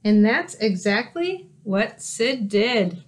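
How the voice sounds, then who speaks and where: A woman in her forties reading a children's storybook aloud in a careful, expressive storytelling manner. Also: a little high, slow pace. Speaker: storybook reader. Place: small room indoors by windows.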